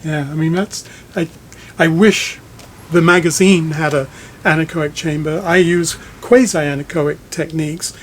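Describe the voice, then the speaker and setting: Higher-pitched man interviewing, measured. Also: steady tone, slight background noise. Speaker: magazine editor interviewing a speaker designer. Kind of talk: conversational technical interview. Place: listening room in a home.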